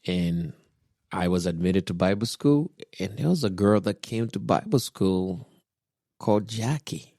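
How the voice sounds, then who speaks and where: A man, calmly telling his personal faith story on a podcast, unhurried and reflective. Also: sits low, no echo, clean studio sound. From Kenya. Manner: unhurried, reflective, with soft chuckles. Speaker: podcast guest husband, pastor.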